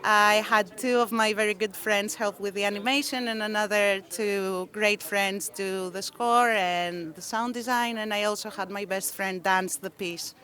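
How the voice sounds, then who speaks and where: A woman's voice: mid-range voice, steady tone, a little slow, hardly any pauses, pushed and bright. A young woman from Greece, an animation filmmaker, answering interview questions in an earnest way. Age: young adult.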